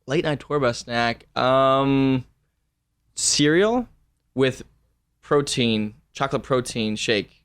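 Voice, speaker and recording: Animated man chatting as a guest. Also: mid-range voice, takes it slowly, clean tone. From United States. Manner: animated, laughs often, lively storytelling. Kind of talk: casual interview chat.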